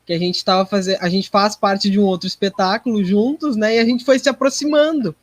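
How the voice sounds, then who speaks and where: Um homem entrevistado, ator, falando em conversa informal. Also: male voice, high-pitched, fairly even intonation, fairly quick, no echo, clean studio sound. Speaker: interview guest, actor. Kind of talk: casual chat.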